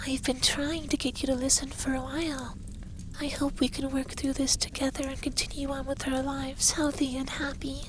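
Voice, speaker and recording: A man playing a possessive, stern but caring effeminate dragon lover in an intimate ASMR roleplay, soft and breathy with whispers. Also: extremely high pitch, little pitch movement, moderate pace, noisy background. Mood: tender. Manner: commanding, teasing, tender.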